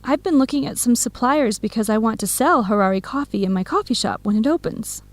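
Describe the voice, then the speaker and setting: An actress voices an outspoken, supportive young woman in an educational radio drama. She speaks clearly and in measured phrases, with a moderately high pitch. The sound is very dry, with no room around it. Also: animated, no echo, natural voice. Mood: friendly, curious. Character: supportive, outspoken young American woman friend and eco volunteer.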